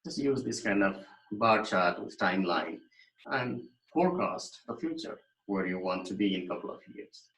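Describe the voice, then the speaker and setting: A man, lecturing on a recording in a fairly low voice. His explanations are thoughtful and broken by fillers and restarts, and the room adds a noticeable echo. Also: expressive, moderate pace, a bit bright. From Nepal. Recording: echoey room.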